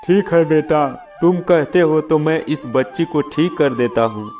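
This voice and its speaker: A man's voice: fairly high pitch, steady tone, a little slow, a little mellow, faint music behind, pitched above the performer's own voice, lightly acted. A man voicing a stern village holy man and mystic, grave and admonishing, in a children's moral story. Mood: relenting.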